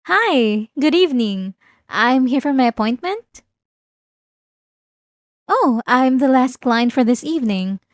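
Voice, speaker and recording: An obsessive, manipulative young woman in therapy, acted by a woman, talks in a fairly high voice for an ASMR roleplay. She is eagerly polite and hesitant, with a nervous, defensive edge. The sound is very dry and close to the microphone. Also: sing-song, slow, long pauses, no room sound, exaggerated voice. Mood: polite, anxious. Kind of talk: scripted ASMR roleplay acting.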